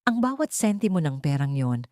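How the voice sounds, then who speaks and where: A woman's voice: sits low, big pitch swings, normal speed, a little mellow, no room sound, studio quality. A woman with a Filipino accent narrating a scripted dramatic story.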